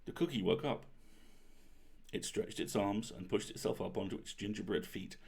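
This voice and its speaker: Gravelly man reading a bedtime story. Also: on the low side, normal speed, darkish tone. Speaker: storyteller, livestream host.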